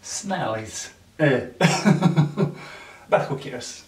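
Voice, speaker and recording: A man with a Serbian accent, whose first language is Serbian, gives a makeup tutorial in halting English with many fillers and soft nervous laughs. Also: fairly high pitch, lively intonation. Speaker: beauty vlogger. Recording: echoey room, mic at a distance.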